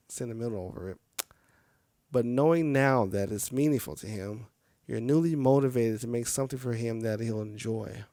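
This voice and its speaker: A man's voice: mid-range voice, darkish tone. A man reading a game's story aloud on a live stream, with casual, chatty asides to viewers. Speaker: game streamer.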